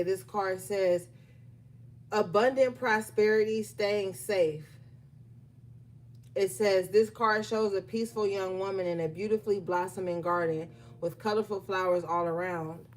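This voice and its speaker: A woman's voice: fairly low pitch, darkish tone, a little hiss or hum. A woman giving a collective tarot reading, reading card meanings aloud and then talking them through casually with her viewers. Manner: reads guidebook text aloud, then riffs conversationally with fillers. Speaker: tarot reader.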